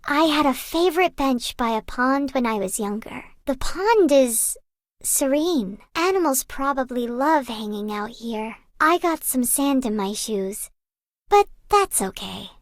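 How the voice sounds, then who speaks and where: High-pitched bookish young librarian. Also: female voice, lively intonation, a little slow, no room sound. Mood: gentle, curious. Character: gentle, bookish young Korean librarian woman, wistful. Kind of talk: video game character voice lines.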